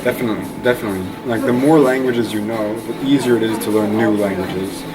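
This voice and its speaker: A young man from Eastern Canada chatting casually in an interview, relaxed and rambling with lots of fillers. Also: fairly low pitch, quick pace, over loud music, rough recording. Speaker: interview guest. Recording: loud crowd noise behind.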